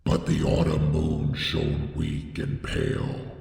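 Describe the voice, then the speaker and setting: An extremely low-voiced man narrates a horror tale in heavy, crackling fry. His delivery is solemn, deliberate and theatrical, and every phrase trails off into a long, echoing reverb. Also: big pitch swings, darkish tone. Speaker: narrator reading a horror story. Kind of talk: scripted dramatic horror audiobook narration.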